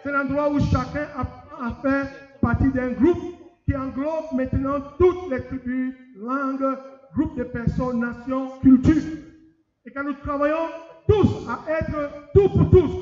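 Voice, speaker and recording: A middle-aged man preaching a lively sermon, talking straight to the congregation in a conference hall. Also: very high voice, unhurried. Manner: lively, addresses the congregation directly. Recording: echoey hall, dull sound.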